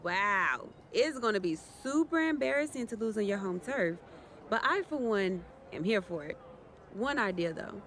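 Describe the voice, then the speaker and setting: A competitive, cocky female wrestler , played by a woman in a video game cutscene. Her intonation is very lively at an average pitch. Background noise is audible. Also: normal speed, close to an everyday voice. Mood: cocky, playful. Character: brash, competitive female champion pro wrestler.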